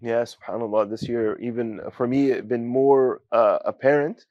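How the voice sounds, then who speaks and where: A young man from giving a student testimonial, talking haltingly with many fillers and Arabic phrases. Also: mid-range voice, steady tone, no echo, dull audio. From United States. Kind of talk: casual spoken testimonial.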